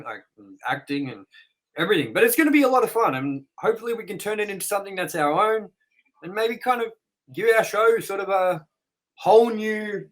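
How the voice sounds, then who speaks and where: A man hosting a review show, rambling and excitable as he wraps up the episode with plugs. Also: sits high, rough recording. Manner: rambling and excitable, with sound-effect noises. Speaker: host. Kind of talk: casual episode wrap-up with plugs.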